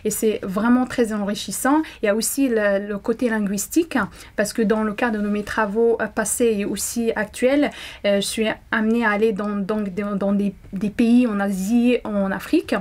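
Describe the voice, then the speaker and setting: A woman's voice: medium pitch, steady tone, moderate pace, a little mellow. A young woman whose first language is Arabic, a doctoral student answering interview questions to camera, hesitant, with many fillers and restarts. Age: young adult. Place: indoor room.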